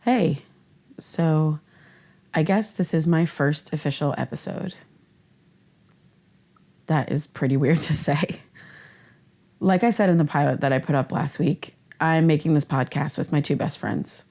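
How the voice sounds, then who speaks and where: A woman's voice: sits low, unhurried, pauses often, darkish tone. An actress playing an earnest, vulnerable young woman who confides in podcast listeners in a casual, hesitant, candid way. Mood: tentative, candid. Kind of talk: scripted podcast narration, confessional.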